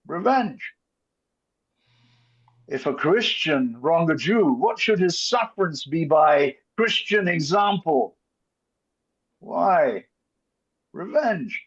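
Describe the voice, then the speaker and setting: A man playing a bitter, vengeful merchant and wronged father in a theatrical Shakespeare reading, declaimed deliberately with emphatic pauses. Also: fairly high pitch, animated, husky, full character voice. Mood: cold vengeance. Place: online video call reading. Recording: muffled video call audio.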